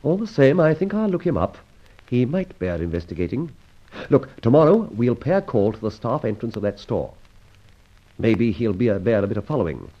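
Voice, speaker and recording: A brisk, middle-aged gentleman sleuth in an old radio serial. His delivery swings freely in pitch as he muses and exclaims, on a dull, muffled recording. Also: big pitch swings, breathy edge. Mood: urgent, planning.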